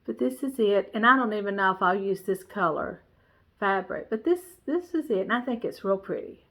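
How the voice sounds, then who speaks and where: A woman chats through a cross-stitch show-and-tell in a nasal, congested voice. Her talk rambles, full of fillers and restarts, and her pitch stays in a middle range. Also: expressive, normal speed, fairly bright tone. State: stuffy, congested nose. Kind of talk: casual show-and-tell chat.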